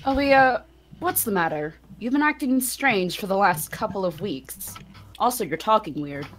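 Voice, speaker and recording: A woman's voice: medium pitch, animated, moderate pace, fairly bright tone, faint music behind, natural voice. A woman voicing a concerned, bluntly teasing young friend in a visual novel, insistent and probing. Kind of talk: amateur visual novel voice acting.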